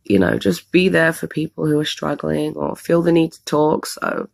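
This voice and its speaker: A woman's voice: sits low, animated, moderate pace, a little mellow. A woman from London with a London accent, losing her voice to a cold, chatting casually and rambling through a story time for a vlog. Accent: London English. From England, London. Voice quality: hoarse, gravelly. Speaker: vlogger co-host.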